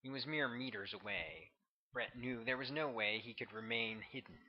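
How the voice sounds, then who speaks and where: Male audiobook narrator, author reading, scripted prose, lower-pitched than some but ordinary, dull lo-fi sound. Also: normal speed, gravelly. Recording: dull, lo-fi recording.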